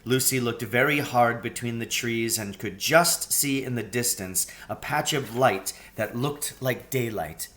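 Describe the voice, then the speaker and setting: Unhurried man reading a storybook. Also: medium pitch, a bit bright. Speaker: audiobook reader. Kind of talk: scripted storybook narration.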